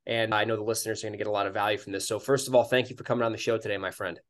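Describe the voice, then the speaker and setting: Fast-talking young male podcast host. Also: lowish voice, little pitch movement. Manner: upbeat, fast-talking, casual filler "like". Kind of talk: podcast interview over video call. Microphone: video call webcam.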